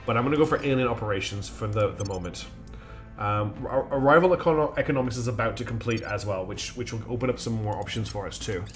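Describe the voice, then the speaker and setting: A man's voice: on the low side, quick pace. Guy streaming a game late at night, kinda stuffy nose, lots of ums, faint music behind. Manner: thinks aloud, chatty, many ums and restarts. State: slightly blocked nose. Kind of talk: live game stream commentary.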